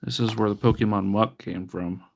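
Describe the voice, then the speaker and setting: Male streamer, low and gravelly, wry casual patter, a little stuffy-nosed. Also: steady tone, moderate pace, a little mellow. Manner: chatty, wry, mock-disgusted asides. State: sniffly from allergies. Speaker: livestreamer repairing retro handhelds. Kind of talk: casual live-stream chat while working.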